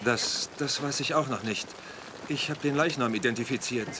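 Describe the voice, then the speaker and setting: A man's voice: mid-range voice, normal speed, lightly acted. Guy acting shaken distracted man, tv drama dub, standard german, hesitant, super noisy outside. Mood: shaken, apologetic. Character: adult man, preoccupied, shaken bearer of bad news. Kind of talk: dubbed TV drama dialogue. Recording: very loud outdoor ambient noise.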